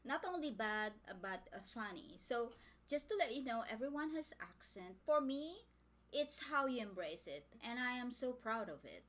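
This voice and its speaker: A woman's voice: on the high side, very animated, moderate pace, fairly bright tone. A woman from the Philippines with a Filipino accent, whose first language is Tagalog, chatting through a makeup tutorial as a beauty vlogger and talking directly to her viewers.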